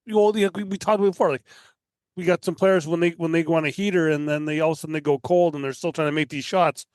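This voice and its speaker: A man from Canada with a slightly high voice, a guest in a casual sports chat. He talks very quickly in rambling, repetitive runs with tag questions, on a dry recording. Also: fairly even intonation, a little mellow, clear, resonant tone, dry recording. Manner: rambling, repeats himself, tags "right?". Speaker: podcast guest.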